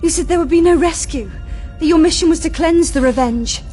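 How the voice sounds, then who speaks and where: Rattled young female adept with a very high, breathy, halting voice, scared yet defiant, sci-fi audio drama. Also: warm tone, soft background music. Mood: stunned, bewildered. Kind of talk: science-fiction audio drama acting.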